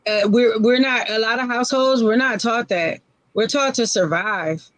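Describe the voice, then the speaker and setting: A woman's voice: fairly high pitch, moderate pace, dry recording. A woman in her forties speaking frankly on a casual live-stream panel, in short, repeated phrases. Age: 40s.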